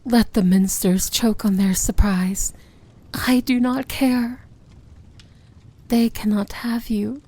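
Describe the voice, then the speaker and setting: Breathy, slow-speaking evil princess, played by a woman. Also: medium pitch, warm tone. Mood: fierce, possessive. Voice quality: breathy, often whispering. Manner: slow, intimate, commanding yet tender. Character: powerful possessive demon princess, tender and in love. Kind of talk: romantic fantasy ASMR roleplay.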